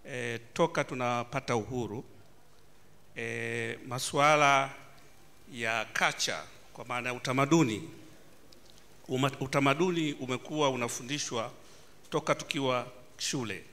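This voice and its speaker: A man from Tanzania, answering on a formal panel in a halting manner with formal greetings. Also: medium pitch, creaky, bright, forceful tone. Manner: halting, many fillers and restarts, formal address. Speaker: panelist, government official.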